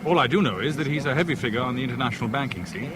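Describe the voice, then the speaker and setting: An actor playing a polite, guarded English gentleman traveller in a 1970s radio drama, formal and slightly hesitant, with a British accent. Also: mid-range voice, expressive, very fast, background noise, natural voice. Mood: tentative, courteous.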